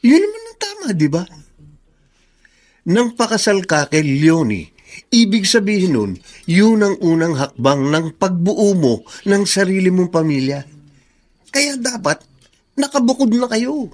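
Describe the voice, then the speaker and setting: A blunt male buddy in a radio drama, with a very high voice for a man. He nags and advises in exasperated, swooping phrases. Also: a little slow, long pauses, full character voice. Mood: blunt advising. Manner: animated, nagging. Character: blunt, exasperated male best friend giving advice. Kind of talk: Filipino radio drama acting.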